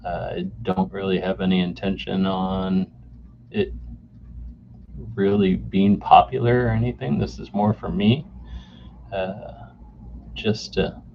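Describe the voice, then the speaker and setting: A man in his forties hosting a solo podcast off the cuff, halting with many fillers and restarts, recorded on a camera mic indoors. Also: low-pitched, flat delivery, creaky. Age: 40s. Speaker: podcast host. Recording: dull lo-fi camera mic sound with faint noise.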